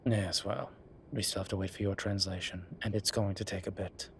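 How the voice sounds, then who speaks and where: Weary incubus professor played by a man, lowish voice, wry and measured, intimate audio drama, ambient noise behind. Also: fairly even intonation, creaky. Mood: dry, resolved. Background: ambient sound effects.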